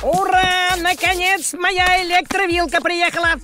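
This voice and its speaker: A man voicing a bossy, thrifty, excitable cartoon cat who scolds and boasts. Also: very high voice, measured pace, over music. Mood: proud, excited. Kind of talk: animated series voice acting.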